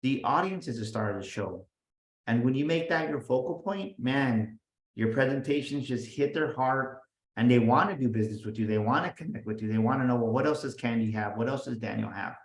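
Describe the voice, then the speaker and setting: A man talking as a guest business coach, energetic and emphatic, often restarting and repeating his words. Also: mid-range voice, a little fast. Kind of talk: motivational interview talk. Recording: roomy echo.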